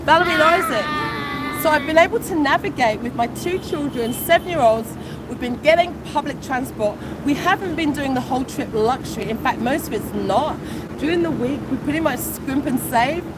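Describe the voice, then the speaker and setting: British woman, forties, high voice, chatty livestream, exclamatory, outdoors, phone at arm's length, loud street traffic behind. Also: normal speed. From United Kingdom. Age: 40s. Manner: chatty, exclamatory, warm asides. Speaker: vlogger. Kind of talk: casual livestream chat. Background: passing traffic.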